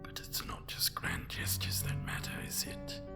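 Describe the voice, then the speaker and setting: A man voicing an obsessive, seductive dragon lover in an intimate, breathy whispered ASMR roleplay, close to the mic. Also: loud music behind the voice, lightly acted. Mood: tender, devoted. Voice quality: breathy whisper with gravelly growl. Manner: slow, intense, intimate.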